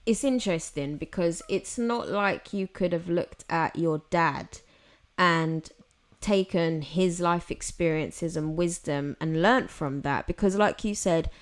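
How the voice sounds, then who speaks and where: Slow-talking woman podcast host. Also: on the low side. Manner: soft, unhurried, many fillers and backchannels. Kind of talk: relaxed interview chat.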